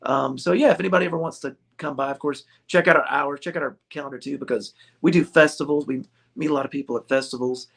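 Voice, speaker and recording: A man's voice: mid-range voice, fairly even intonation, quick pace, vocal fry, breathy edge. A man, a ukulele shop owner being interviewed, chatting casually and joking with frequent laughter. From United States. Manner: jokey, laughs often. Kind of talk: casual chat.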